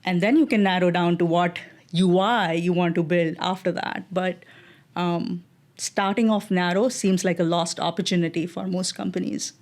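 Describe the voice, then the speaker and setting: A middle-aged woman with an Indian English accent, a guest on an interview podcast, answering in a measured way with many fillers and restarts. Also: on the low side, steady tone, a little mellow. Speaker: guest, design executive.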